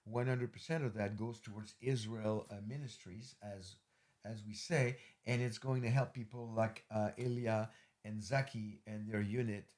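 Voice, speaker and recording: A man's voice: fairly low pitch, fairly even intonation, normal speed, a little mellow. Middle-aged guy hosting a ministry podcast, lots of uhs, earnest, rambly. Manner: rambling, many fillers, earnest appeals. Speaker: host, ministry leader. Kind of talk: podcast interview and sign-off.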